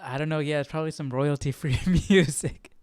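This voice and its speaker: Man musing casually, crisp dry voice. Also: a little high, fairly quick, a little mellow, dry recording. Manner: musing, questioning, conversational. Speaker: host of a live Bible study. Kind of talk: casual thinking-aloud commentary.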